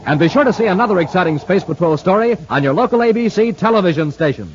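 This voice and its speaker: A man's voice: sits high, lively intonation, fast talker. A man announcing in an emphatic, theatrical 1950s radio style, reading scripted dramatic promotion. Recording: noisy muffled vintage radio recording.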